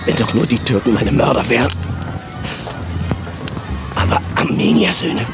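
An actor playing a defiant, anguished priest in a German radio drama, speaking with impassioned intensity. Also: male voice, mid-range voice, lively intonation, a little slow, gravelly, over music. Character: defiant martyred priest, bound to the altar, anguished.

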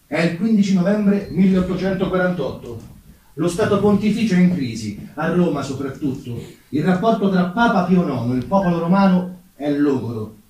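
A man narrating history dramatically as a stage actor, with deliberate, theatrical phrasing. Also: high voice, lively intonation, a little mellow, a little hiss or hum. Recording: very echoey, distant, music behind.

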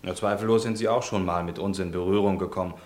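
A roomy echo surrounds a low-voiced, jovial impostor parson, played by a man in a radio drama. He sounds genial and boastful, like a salesman proud of his trade. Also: steady tone, fast talker, darkish tone, lightly acted. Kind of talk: German radio play acting.

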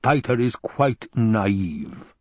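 A man voicing an obese, sinister male baron with a rumbling basso voice, slow and taunting, in an audiobook. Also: big pitch swings, dry recording, full character voice. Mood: gloating. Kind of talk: audiobook character voice.

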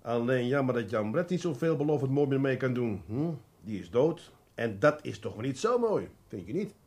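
Patient police detective, Dutch, lively melodic delivery, deliberate emphasis, coaxing and mildly ironic, radio drama. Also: male voice, medium pitch. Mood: probing. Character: shrewd, patient male police detective, fatherly but pressing.

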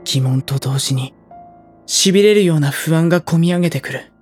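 A man's voice: a little high, normal speed, a little mellow, faint music behind, lightly acted. An actor playing an anxious, introspective teenage schoolboy, narrating his brooding inner thoughts in a Japanese audio drama. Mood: puzzled, uneasy.

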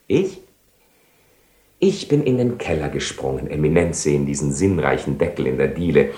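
World-weary ironic nobleman seducer, low voice, eloquent radio drama delivery, roomy 1970 studio. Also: big pitch swings, moderate pace, dark tone. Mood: scheming, mocking. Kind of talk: 1970s German-language radio play acting.

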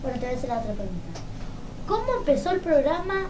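A woman's voice: high voice, sing-song, quick pace. An Argentine woman asking questions as an informal radio interviewer. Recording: noisy room, distant microphone.

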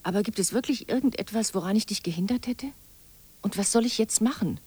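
A woman's voice: medium pitch, fairly quick, darkish tone, breathy edge, no room sound, lightly acted. An actress playing a disappointed, resolute wife in a radio drama, speaking her letters in an intimate, reproachful, articulate way. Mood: hurt, pressing.

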